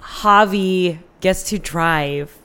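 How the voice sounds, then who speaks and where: A woman's voice: fairly low pitch, very slow, bright, forceful tone, clear, resonant tone. An American woman chatting casually as an interview guest, candid and informal, swearing freely as she tells personal stories.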